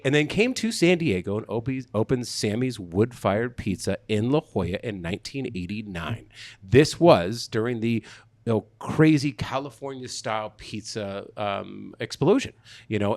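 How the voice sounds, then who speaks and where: A man's voice: medium pitch, animated, a little slow, dry recording. Male host in his forties; talky, jokey, loose, dry close studio sound. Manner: rambling and joking, heavy on fillers and restarts. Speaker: podcast host. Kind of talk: casual interview chat.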